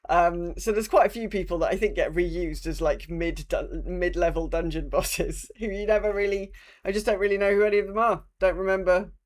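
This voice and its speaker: A woman's voice: lowish voice, fairly quick, hardly any pauses, darkish tone. A woman co-hosting a podcast, chatting casually about a video game in a wry, rambling way that can turn sweary. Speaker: podcast co-host.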